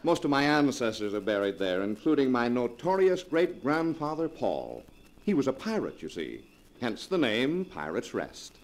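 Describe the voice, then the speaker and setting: A man's voice: mid-range voice, big pitch swings, moderate pace. A man acting a suave, sardonic husband from a sinister family in a 1940s radio drama, theatrical and mockingly casual. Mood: proud, breezy.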